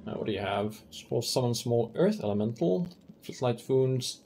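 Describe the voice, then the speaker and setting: A man's voice: medium pitch, lively intonation, moderate pace, warm tone, slight background noise. A man streaming a fantasy roleplaying game who thinks aloud in casual commentary, with many ums as he weighs loot and rules.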